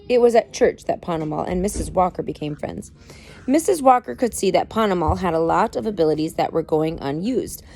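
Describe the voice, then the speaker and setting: A woman's voice: mid-range voice, creaky, darkish tone. A woman reading a book aloud expressively, with dramatic emphasis and informal explanatory asides. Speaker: parent reading aloud to children.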